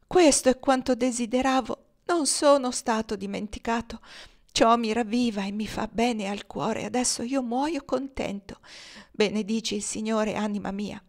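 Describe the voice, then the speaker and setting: Woman acting old man on deathbed, storybook, feeble voice, devout, solemn, gentle, peaceful. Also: medium pitch, fairly even intonation, creaky. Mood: joyful, exalted. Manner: solemn, devout, slow-breathed exaltation.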